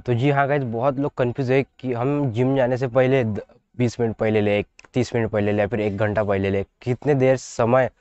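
A man's voice: mid-range voice, darkish tone, dry recording, lo-fi sound. A young man in his twenties, a fitness vlogger giving chatty, repetitive diet advice straight to camera outdoors on a lapel mic. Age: 20s. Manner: chatty, repetitive, addresses viewers as guys. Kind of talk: casual direct-to-camera advice. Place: outdoors in front of trees.

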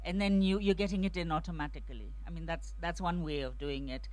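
A woman's voice: fairly low pitch, fast talker, a little mellow. A middle-aged woman on an outdoor festival stage, speaking into a handheld mic, talking thoughtfully with many restarts and fillers. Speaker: translator on a festival panel. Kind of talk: panel discussion answers.

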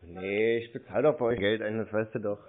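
A man's voice: on the low side, fairly even intonation, fairly quick. A man chatting casually on a phone call, teasing in a dry, laid-back way. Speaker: scam-baiting YouTuber on a phone call. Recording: muffled narrow-band phone call audio.

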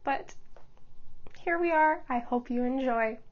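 A woman in her twenties hosting a knitting podcast, chatting casually to camera from a small home studio, a little stuffed up from a cold, talking quickly and stumbling over her words with frequent laughs. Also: high voice, muffled recording. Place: small home studio room under studio lights.

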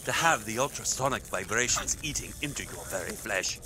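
A man's voice: lowish voice, expressive, natural voice. Man playing a menacing sci-fi Imperial overseer, noisy backdrop. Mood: angry. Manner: imperious, theatrical, slow deliberate emphasis. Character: sadistic, menacing male Imperial overseer commanding a slave camp. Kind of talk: dramatized sci-fi audio drama acting.

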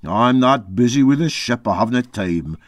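A man acts out an old Highland Scottish sea captain from a storybook. He is boastful and garrulous, a cheerful bachelor with folksy, emphatic talk. His pitch swings freely in a dry, close sound. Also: lively intonation, moderate pace, dry recording, natural voice. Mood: dismissive.

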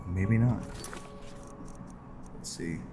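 Slow, deep-voiced man thinking aloud. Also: background noise. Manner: low-key, thinking aloud. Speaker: gameplay host. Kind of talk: casual video game commentary. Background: music.

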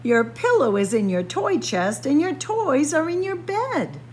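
A woman's voice: high voice, sing-song, unhurried, a little mellow, a little hiss or hum, voice pushed up. A woman voicing a bossy young girl bunny, a tidy big sister, in a picture book read-aloud. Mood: bossy, brisk. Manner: bossy, instructing.

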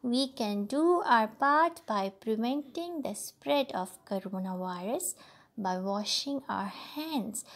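A woman's voice: fairly high pitch, full character voice. A woman voicing a patient, caring older sister who explains and reassures in a storybook read-aloud.

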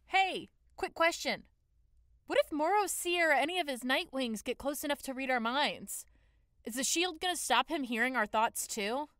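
A woman's voice: high-pitched, expressive, normal speed, a bit bright, no echo, studio quality. A woman playing a feisty young female dragon in an audio drama, brash, wry and casual. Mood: questioning. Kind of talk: audio drama character acting.

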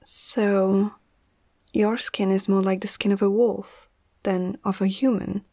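A woman's voice: medium pitch, flat delivery, slow, warm tone, no echo. A woman playing a nervous but caring young vet in an ASMR roleplay, hesitant and often half-whispering close to the mic. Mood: reluctant, reassuring. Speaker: amateur ASMR roleplay performer.

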